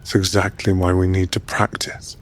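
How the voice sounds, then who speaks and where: A man playing a centuries-old, guilt-ridden vampire in a whispered audio drama, speaking in a soft, measured way. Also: low voice, completely flat delivery, lightly acted. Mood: rueful. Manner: soft, breathy, measured delivery.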